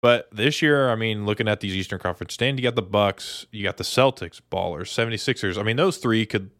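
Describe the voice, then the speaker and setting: A man's voice: on the low side, brisk, fairly bright tone, no echo, clean studio sound. A man co-hosting a podcast, chatting casually about sports in informal, slangy buddy talk. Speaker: podcast co-host.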